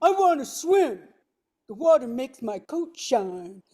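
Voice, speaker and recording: A woman's voice: high voice, big pitch swings, slow pace, mellow, exaggerated voice. A woman voicing an eager, lovestruck golden retriever in an amateur radio play, exclaiming with spoken barks. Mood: eager, smitten.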